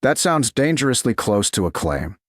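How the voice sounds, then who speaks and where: A man voicing a proud, challenging noblewoman in an audiobook romance, quiet and pressing in manner. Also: mid-range voice, very animated, normal speed, no room sound, clean studio sound, natural voice. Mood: pressing, tense. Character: proud, challenging medieval noblewoman resisting attraction.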